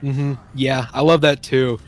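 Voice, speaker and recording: A man's voice: mid-range voice, level tone, measured pace. A man chatting casually on a stream, rambling enthusiastically and often trailing off and restarting.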